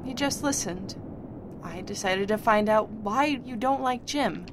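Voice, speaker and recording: A woman's voice: unhurried, close to an everyday voice. A woman playing a firm, loyal young daughter in an audio drama, plain-spoken and assertive. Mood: calm, defiant. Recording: clear low rumbling background noise.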